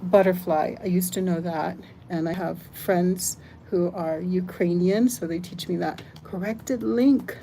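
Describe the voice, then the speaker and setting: An older woman from the United States hosting a casual live stream, chatting, reading comments aloud and thinking out loud. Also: fairly low pitch, unhurried, creaky, a little hiss or hum. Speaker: livestream host.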